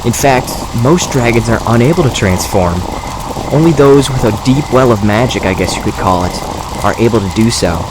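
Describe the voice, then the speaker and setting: A man playing a patient, reflective dragon in human form in an audio drama, speaking in a measured, thoughtful way. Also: mid-range voice, close to an everyday voice. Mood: musing, candid. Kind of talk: audio drama character acting. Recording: steady noise behind the voice.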